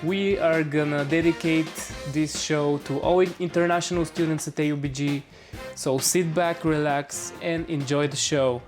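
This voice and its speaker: An upbeat host with a mid-range voice runs a student interview show, asking scripted questions with quick reactions. Faint music plays underneath. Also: mid-range voice, fairly even intonation.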